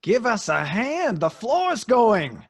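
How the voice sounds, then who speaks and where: An actor playing a wary, jittery crook's accomplice with an American accent, urgent and terse, in old-time radio horror style. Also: male voice, high-pitched, sing-song, takes it slowly, breathy edge, exaggerated voice. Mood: panicked. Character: Pete, wary, jittery male bank-robber accomplice.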